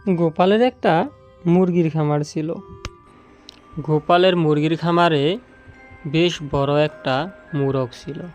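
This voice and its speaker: Storyteller, scripted children's narration, very unhurried pace, mid pitch, background music. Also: darkish tone.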